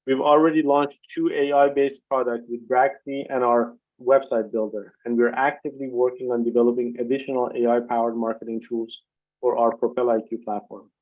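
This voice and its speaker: A man reading prepared financial remarks as a company executive, formal and measured, on a video conference call. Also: medium pitch, little pitch movement. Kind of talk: scripted corporate earnings presentation. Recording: dull, lo-fi conference call audio.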